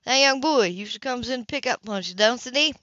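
A woman's voice: on the high side, lively intonation, fairly quick, sharp tone, dry recording, muffled recording. A woman voicing a gossipy, flirtatious small-town woman in an audiobook, chatty and prying.

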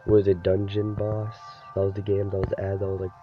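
A man's voice: sits low, completely flat delivery, fast talker. A young man with a cold, rambling casually over gameplay as a gaming YouTuber and saying "dude" a lot. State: sick, voice deeper than usual. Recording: dull lo-fi recording with game music behind. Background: game music.

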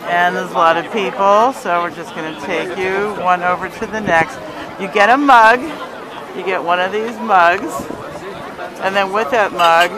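Chatty woman touring a crowded market. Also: on the low side. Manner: cheerful, chatty, promotional. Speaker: travel agent and host. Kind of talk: handheld vlog walking tour. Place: crowded outdoor Christmas market. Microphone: handheld phone camera. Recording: busy crowd noise around.

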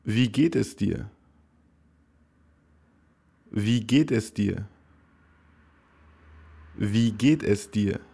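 Slow, gravelly-voiced man. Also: on the low side, warm tone. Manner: slow, careful, each phrase said three times. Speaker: language teacher. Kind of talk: slow repeated phrase drill.

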